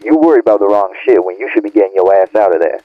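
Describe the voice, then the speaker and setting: A man's voice: on the low side, racing, slight background noise. A man acting a bitter, irritated young friend in an audio roleplay, scolding in profane slang through a phone-like filter. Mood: annoyed, scolding. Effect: phone or radio filter. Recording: narrow tinny phone-like band.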